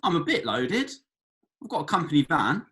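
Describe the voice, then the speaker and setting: An easy-going young working-class man voiced by an actor at a table read, smitten and teasing. His pitch moves around a great deal, playful and colloquial with flashes of mock pomposity. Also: sing-song, normal speed, sharp tone. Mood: affectionate. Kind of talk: table read of a comedy screenplay.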